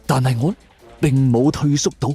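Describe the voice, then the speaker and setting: A man's voice: mid-range voice, steady tone, normal speed, warm tone, slightly rough, faint music behind. A man narrates a suspense story in the first person, dramatically.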